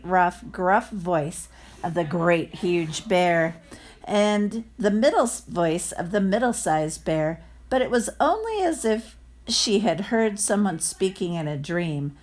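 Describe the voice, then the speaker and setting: A woman reading a children's story aloud in a careful, expressive narrating style. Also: medium pitch, slow pace. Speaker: storybook reader.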